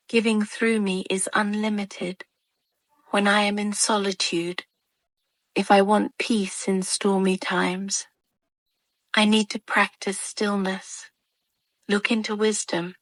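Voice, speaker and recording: Woman, meditation guide, very slow pace, soothing calm delivery, dry close sound. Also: mid-range voice, no echo. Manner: slow, measured short phrases with pauses. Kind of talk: guided meditation, scripted gentle narration.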